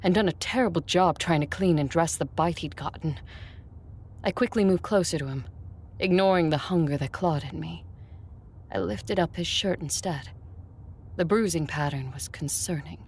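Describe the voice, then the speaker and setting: Husky, low-voiced ancient vampire woman. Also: moderate pace, a little mellow. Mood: weakened, hungry. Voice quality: husky, breathy. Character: ancient commanding female vampire conqueror. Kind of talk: first-person audio drama narration.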